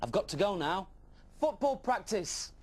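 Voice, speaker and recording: A man's voice: sits high, sing-song, a little slow, voice pushed up, exaggerated voice. A man voicing an eager, wide-eyed young dragon who tells his adventure with childlike wonder in a children's storybook. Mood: excited.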